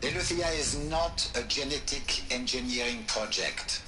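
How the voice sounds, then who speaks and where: An actor playing a proud, exacting scientist who delivers a formal recorded briefing in a video game. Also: male voice, medium pitch, unhurried, loud music behind the voice, natural voice. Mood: proud, firm. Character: precise, stern scientist heading a program.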